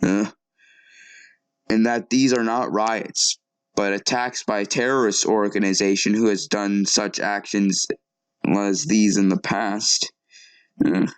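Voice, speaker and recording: A man's voice: on the low side, little pitch movement, slow, clean tone, dry recording, rough recording. A man playing an earnest TV news anchor in an audio drama, talking in a conversational, sometimes hesitant way. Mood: serious, puzzled. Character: male TV news anchor, earnest, shaken but composed. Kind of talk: acted local TV newscast.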